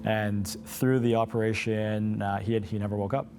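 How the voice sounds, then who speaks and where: A man's voice: fairly low pitch, fairly even intonation, moderate pace, over music. A man speaking candidly as an interview guest, in an earnest, conversational way. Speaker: interview guest, patient's brother. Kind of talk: candid personal storytelling in an interview.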